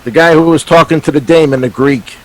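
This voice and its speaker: A man's voice: on the high side, steady tone, a little fast, close to an everyday voice, rough recording. A man acting a tough, curt, glum henchman in a 1940s detective radio play. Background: brass music.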